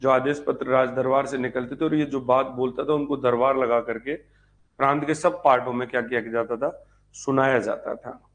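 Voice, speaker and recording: A man's voice: mid-range voice, fairly even intonation, a little fast. A man giving an informal live history class as a teacher, instructive, repeating key points and checking in with students. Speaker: history teacher for exam preparation.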